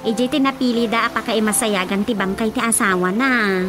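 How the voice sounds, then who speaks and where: An actress playing a practical, matter-of-fact young daughter with an Ilocano accent in a radio drama. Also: female voice, fairly high pitch, normal speed, natural voice. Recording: music under the voice.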